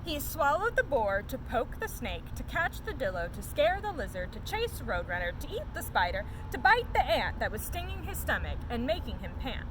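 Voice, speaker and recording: A woman reading a children's picture book aloud as a story time host, in an expressive, sing-song storytelling manner. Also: high-pitched, normal speed, hardly any pauses, noisy background.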